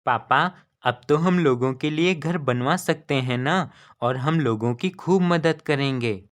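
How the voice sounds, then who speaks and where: A man's voice: fairly high pitch, unhurried, a bit bright. A man voicing an earnest, kind-hearted young boy in a cartoon story, eager and pleading. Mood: excited.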